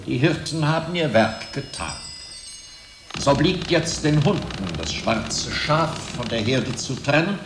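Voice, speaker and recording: Radio drama inquisitor: solemn and pitiless, declamatory, unhurried pace, noisy reverberant recording. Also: male voice, lowish voice. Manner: measured, declamatory, pompous. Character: solemn, merciless medieval inquisitor pronouncing judgment. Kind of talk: radio drama acting, oratorical. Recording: echoey hall with clear noise.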